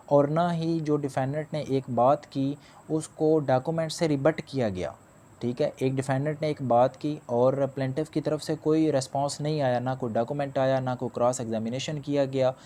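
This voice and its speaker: A man's voice: mid-range voice, dark tone, slight background noise. A man giving a spoken law lecture. He explains step by step, restates each point and mixes in English legal terms. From Pakistan.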